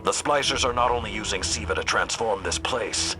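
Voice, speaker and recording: A man playing a wise, grave veteran warrior lord with formal, measured diction in a video game. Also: lowish voice, natural voice. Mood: grave, foreboding. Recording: very loud music over the voice.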